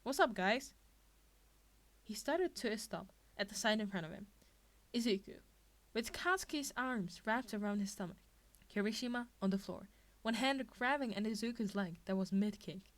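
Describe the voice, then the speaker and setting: A woman's voice: medium pitch, lively intonation, normal speed. A woman reading a scripted fan fiction story aloud as its narrator. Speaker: podfic reader.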